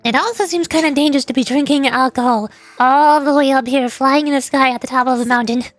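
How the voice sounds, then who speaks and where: A woman's voice: high-pitched, quick pace, faint music behind. A woman streaming a video game, chatting in a playful, cutesy manner as she comments along.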